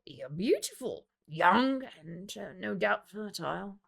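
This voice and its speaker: Audiobook role: dangerous, cunning male fighter played by a woman narrator, slow, menacing, creaky low-key delivery. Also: big pitch swings, exaggerated voice. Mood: confident courting. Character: predatory, cunning male guild champion, slyly courting.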